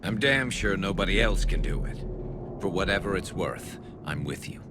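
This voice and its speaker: Male alien soldier, lowish voice, wry, sardonic, loyal, video game, noisy ambience. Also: expressive, moderate pace. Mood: loyal, dry. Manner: dry, sardonic.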